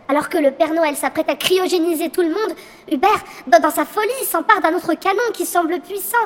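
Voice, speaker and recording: A woman playing Santa's daughter as a live reporter, polite and dramatic, in a comic audio drama. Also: extremely high pitch. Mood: alarmed. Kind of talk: mock live news reporting in audio comedy. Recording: long reverberant hall.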